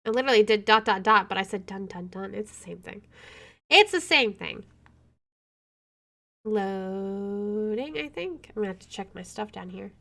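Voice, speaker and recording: A woman's voice: mid-range voice, animated, normal speed, gravelly, pushed and bright. A woman hosting a gaming playthrough, reading the story text aloud dramatically and adding playful, joking asides. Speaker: gaming video host.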